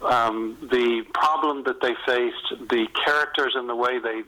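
A middle-aged or older man talking casually as an author in an interview, enthusiastic and self-deprecating, often halting mid-sentence to find his way. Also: mid-range voice, fairly even intonation, sharp tone, rough recording. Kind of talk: casual conversational interview, anecdotal.

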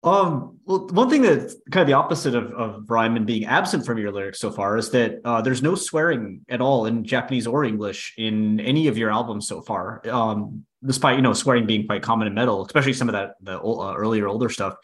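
A man co-hosting a podcast, asking interview questions in a relaxed, conversational way with casual fillers. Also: mid-range voice, a little fast, few pauses. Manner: relaxed, conversational, uses fillers like "you know". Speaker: podcast co-host.

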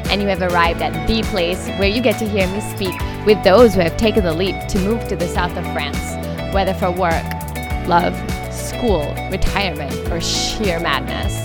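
A woman hosting a podcast who gives an upbeat, welcoming scripted introduction and then asks relaxed interview questions. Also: medium pitch. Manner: upbeat, welcoming, drops French phrases. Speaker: podcast host.

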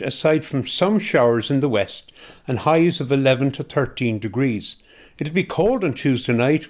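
A man with an Irish accent reading a scripted weather forecast for community radio. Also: medium pitch.

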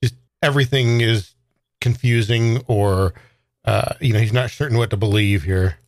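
A man's voice: medium pitch, fairly even intonation, moderate pace, no room sound, studio quality. A man playing a tabletop roleplaying game, casually describing his character's actions in a tentative, thinking-aloud way.

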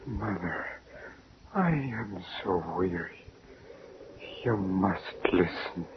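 An actor playing the sorrowful, pleading ghost of a drowned son in a radio horror drama, slow and whispery. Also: male voice, sits low, animated, exaggerated voice. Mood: mournful pleading. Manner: slow, mournful, drawn-out. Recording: muffled, noisy old radio recording.

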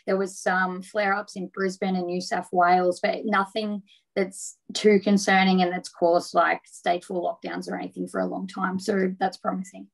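An Australian woman answering as a guest in a casual interview, relaxed and rambling, filling her talk with "like". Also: mid-range voice, moderate pace, vocal fry, low-quality recording. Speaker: boxer, guest.

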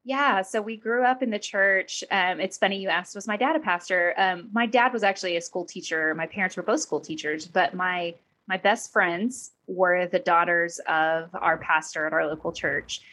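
A woman's voice: fairly low pitch, moderate pace, hardly any pauses, pushed and bright. A woman in her thirties from Oklahoma, a podcast guest who speaks in a candid, thoughtful way. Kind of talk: conversational interview.

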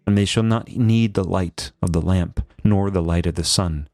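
A man reading a devotional book aloud as a priest, in a solemn, measured and formal manner. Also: sits low, darkish tone, no echo. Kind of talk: scripted devotional narration, scripture reading.

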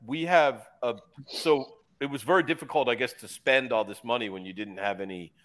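A man's voice: medium pitch, brisk, fairly bright tone, rough recording. Wry man swapping stories. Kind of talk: casual chat, anecdotes.

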